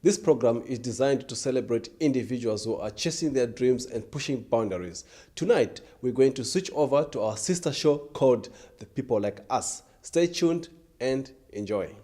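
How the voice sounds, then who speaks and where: Guy doing a formal channel announcement, mellow dark voice. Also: medium pitch, lively intonation. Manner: formal, measured. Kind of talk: scripted announcement.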